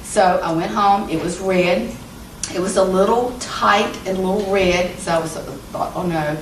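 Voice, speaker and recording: A woman's voice: medium pitch, normal speed, far from the mic. A woman in her fifties answering interview questions as a patient, talking conversationally with hesitant fillers. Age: 50s. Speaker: patient giving a testimonial. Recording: echoey room, voice from off-mic, background noise.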